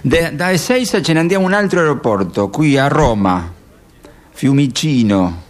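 A man playing an excited live radio correspondent in a radio play. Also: a little high, normal speed, voice pushed up, natural voice. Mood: animated, calling.

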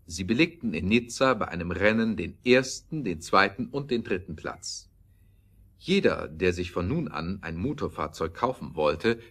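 A man's voice: low-pitched, a bit bright, exaggerated voice. A man narrating a scripted historical radio play as its storyteller.

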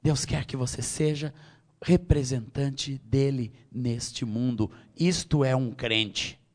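A middle-aged Brazilian man with a Brazilian Portuguese accent preaching a sermon, swinging from loud high exhortation to quiet low tones. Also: slow. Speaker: pastor.

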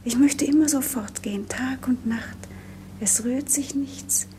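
An actress in a 1950s German radio drama playing a gentle, dreamy young princess, speaking softly and poetically. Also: female voice, high voice, measured pace. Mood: wistful, hushed. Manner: soft, poetic, often breathy. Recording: old radio recording, faint noise.

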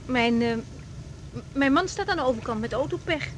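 Young woman doctor, highish voice, Netherlands Dutch, needy and coaxing, radio drama, background noise. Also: expressive, a little fast. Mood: polite, coaxing. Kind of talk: naturalistic 1980s Dutch radio drama acting.

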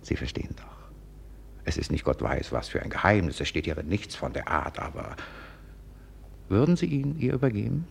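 Deep gravelly, agitated schemer. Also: male voice, very animated, exaggerated voice. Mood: furtive, awkward. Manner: tense, halting, insistent. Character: agitated, scheming, irritable male secretary. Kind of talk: 1950s German radio drama acting. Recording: noisy 1950s radio play recording.

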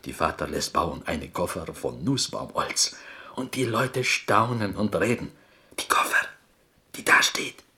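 An actor playing a proud, melancholy Greek man from Corfu in a radio drama, telling his tale in halting, broken German with a Greek accent. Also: deep voice, very animated, sharp tone, whispered, exaggerated voice. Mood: proud, wistful.

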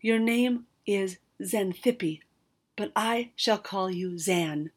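A woman voicing a cranky, ancient male wizard in a storybook reading, speaking gruffly. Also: a little high, lively intonation, normal speed, a bit bright. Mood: tender.

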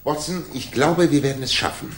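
An actor playing a confident, commanding male detective in a 1960s German radio play, with a husky voice. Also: fairly high pitch, a little fast, slight background noise, natural voice. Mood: eager, amused. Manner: commanding, probing, self-assured.

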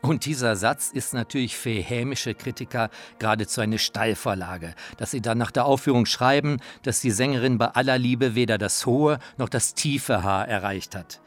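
A man's voice: mid-range voice, moderate pace. A man talking about opera in an emphatic, reflective way, as the author and presenter of a radio feature. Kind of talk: personal spoken commentary on opera. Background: opera music.

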